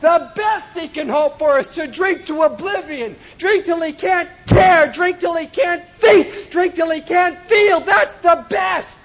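A man giving an impassioned personal testimony at a recovery meeting, often shouting for emphasis. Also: extremely high pitch, unhurried, few pauses, dull audio. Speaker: recovery speaker.

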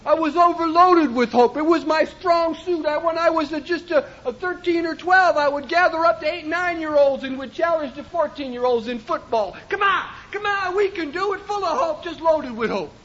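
A man giving an impassioned personal testimony at a recovery meeting, often shouting for emphasis. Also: very high voice, moderate pace, few pauses, lo-fi sound. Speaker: recovery speaker.

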